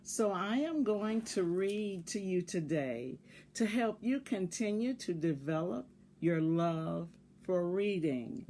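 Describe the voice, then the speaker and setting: A woman reading a picture book aloud for children in a measured way, pausing to address young listeners. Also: fairly low pitch, a little mellow, a little hiss or hum. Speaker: volunteer children's story reader.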